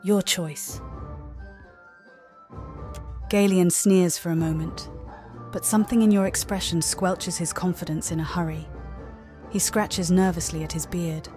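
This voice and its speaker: A woman narrating a story game playthrough in a calm, even storybook manner, also voicing the player character. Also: on the low side, measured pace, pauses often. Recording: game music under the voice.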